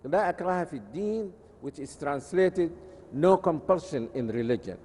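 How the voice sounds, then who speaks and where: A male Islamic preacher with an Arabic accent and a fairly high voice. He lectures deliberately, explaining scripture and repeating key phrases. The recording has long hall reverb and some faint noise. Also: animated, dark tone. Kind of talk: religious lecture with scripture quotations. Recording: noisy, echoey hall.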